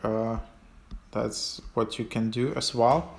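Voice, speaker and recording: Flat, hesitant man giving an app walkthrough. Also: mid-range voice, vocal fry. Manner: hesitant, halting delivery with trailing phrases. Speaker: host of an app tutorial. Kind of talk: casual unscripted app walkthrough.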